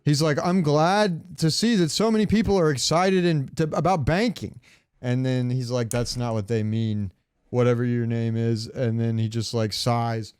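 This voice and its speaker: A man's voice: medium pitch, moderate pace, a little mellow, clear, resonant tone, no room sound. A man co-hosting a gaming podcast in casual chat, swearing now and then, close to the mic. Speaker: podcast co-host. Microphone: close podcast microphone.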